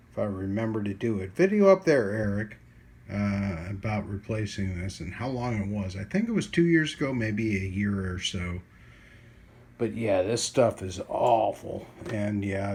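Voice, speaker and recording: Low-voiced man rambling over background noise. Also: darkish tone. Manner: rambling, colloquial, self-deprecating. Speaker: radio hobbyist making a video. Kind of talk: casual hands-on vlog narration. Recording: clear background noise and ambience.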